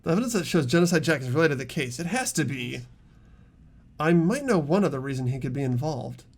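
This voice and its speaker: A man's voice: on the high side, animated, quick pace. A man voicing an earnest, thoughtful teenage boy detective, tentatively reasoning in an amateur game dub. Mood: puzzled.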